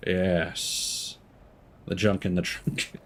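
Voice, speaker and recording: A man's voice: deep voice, little pitch movement, very slow. A man giving casual live gameplay commentary, rambling, swearing and joking dryly. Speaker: game streamer.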